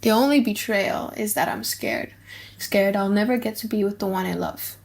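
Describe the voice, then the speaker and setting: A woman's voice: mid-range voice, moderate pace, vocal fry, natural voice. A woman voicing an earnest, impatient male suitor tormented by love in an audiobook play, sighing as she speaks. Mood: earnest, fearful.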